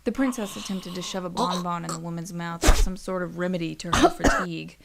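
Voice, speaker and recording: Creaky, low-pitched woman narrating a story. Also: fairly quick, over a noisy crowd.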